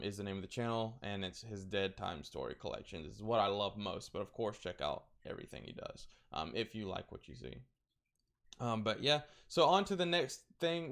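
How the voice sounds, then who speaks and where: A man with a crackly voice chatting casually, rambling through recommendations with lots of fillers and restarts. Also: on the low side. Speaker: streamer recommending horror content.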